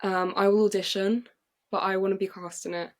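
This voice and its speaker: A young English woman, a playwright and actress, telling her story in an excited, chatty way with many fillers and restarts. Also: medium pitch, fairly quick. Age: young adult. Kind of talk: casual interview storytelling. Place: podcast recording.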